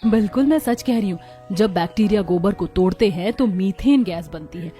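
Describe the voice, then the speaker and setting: A woman's voice: medium pitch, expressive, normal speed, dark tone, faint music behind, close to an everyday voice. A woman voicing a confident eldest daughter-in-law in a village cartoon, explaining things in an assured, instructive way. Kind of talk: scripted cartoon dialogue.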